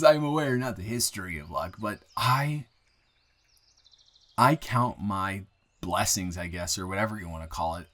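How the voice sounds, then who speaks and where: A man playing a friendly young newcomer to town, chatting casually and haltingly with a close friend in an improvised roleplay audio. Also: mid-range voice. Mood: sincere, thankful. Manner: rambling, halting, self-correcting, conversational. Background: bird and outdoor ambience sound effects.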